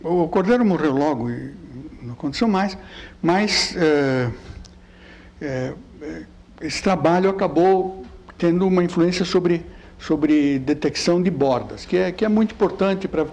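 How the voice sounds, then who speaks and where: Older man, born in Italy, light Italian accent, professor lecturing, fairly high voice, halting, lots of fillers. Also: expressive, darkish tone, a little hiss or hum. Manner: halting, many fillers and repeated words; chatty, keeps asking "né?". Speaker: physics professor giving a lecture. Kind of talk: lecture with slides.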